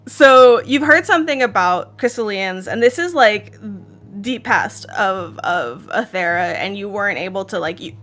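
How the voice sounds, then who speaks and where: Bright-voiced Israeli woman running the game. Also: medium pitch, expressive, normal speed, soft background music. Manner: laughs often, casual with fillers. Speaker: game master. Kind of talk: narrating a tabletop game.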